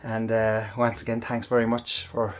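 A man's voice: lowish voice, monotone, moderate pace. A man chatting casually while working out. He rambles between sets, laughs often, and sounds out of breath and sweaty. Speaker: online audio creator.

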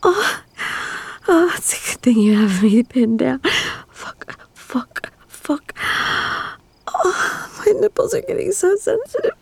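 Adult woman for erotic audio: slow, breathy delivery, widely swooping pitch, moans and gasps. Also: very animated, no room sound. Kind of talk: intimate erotic audio roleplay, addressed to a partner.

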